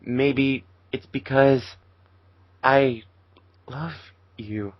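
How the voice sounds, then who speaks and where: A man's voice: medium pitch, steady tone, extremely slow, muffled recording. A man voicing a shy, earnest, lovestruck teenage anime boy in an amateur fan fiction reading. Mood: flustered, earnest.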